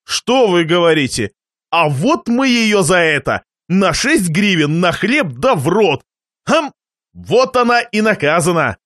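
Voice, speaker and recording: A man performs a shameless, jovial glutton from a satirical storybook. The delivery is pompous and expansive, with very animated pitch. Words are savoured and handed out like little lectures. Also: a little slow, no room sound, clean studio sound, heavily acted. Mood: gleeful, lecturing. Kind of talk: dramatised reading of a satirical short story.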